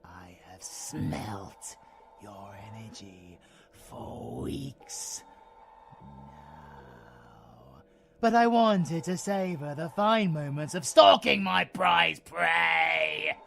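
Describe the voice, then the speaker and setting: A man's voice: fairly high pitch, expressive, drawn-out words, vocal fry, fairly bright tone, full character voice. A British man playing a sadistic ancient male vampire in an audio roleplay, with a theatrical, mocking, taunting delivery. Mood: predatory, taunting. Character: sadistic grey-skinned ancient vampire monster, male, gleefully predatory. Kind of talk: audio roleplay villain acting.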